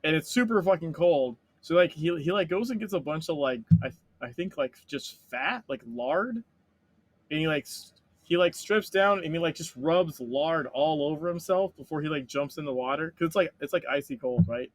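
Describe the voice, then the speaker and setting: A man co-hosting a casual chat, telling stories in a rambling, animated way and swearing freely. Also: on the high side, moderate pace. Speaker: podcast co-host.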